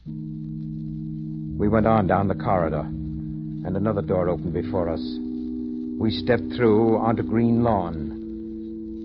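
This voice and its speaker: A man's voice: lowish voice, close to an everyday voice. An actor playing a guilt-ridden, pleading man who believes himself a dead soul, in melodramatic old radio style. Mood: haunted, hushed. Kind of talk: 1950s radio drama acting, narrating and in dialogue. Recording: muffled vintage radio recording, music behind.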